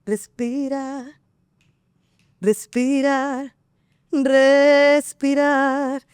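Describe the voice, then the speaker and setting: A woman's voice: high voice, extremely slow, no echo. A woman from Venezuela, a singer and actress, chatting casually as a guest and laughing often. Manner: lively, laughs often, playful. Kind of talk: casual interview chat.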